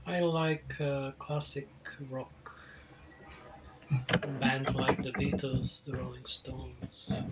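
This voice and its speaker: A man speaking calmly and clearly as a student on a video call. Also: medium pitch, very slow, loud music behind the voice. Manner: calm, relaxed, clear. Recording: echoey, very noisy call.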